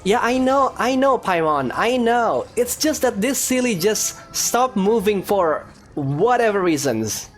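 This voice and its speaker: A woman's voice: medium pitch, very animated, a little slow, few pauses. A woman giving casual gameplay commentary, reacting aloud in a wry way. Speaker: game streamer, player. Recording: faint game music behind.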